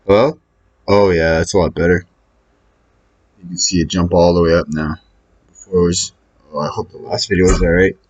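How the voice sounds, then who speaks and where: A man's voice: sits low, flat delivery, moderate pace, long pauses, a little mellow, highs cut off. A man muttering his way through casual think-aloud commentary as he plays online poker, hesitant and questioning himself. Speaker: online poker player recording own session.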